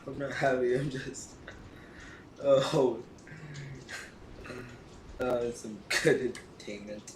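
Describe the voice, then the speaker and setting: A man's voice: medium pitch, steady tone, slow, long pauses. Echoey, distant male gamer, sarcastic. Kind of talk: casual game playthrough commentary. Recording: echoey, distant mic with clear noise.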